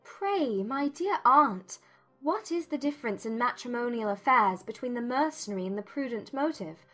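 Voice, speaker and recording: A woman voicing a witty, defiant young gentlewoman in a dramatized classic novel, speaking in arch, formal, exclamatory phrases. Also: on the high side, lively intonation, normal speed, soft background music, voice pushed up. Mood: arch, challenging.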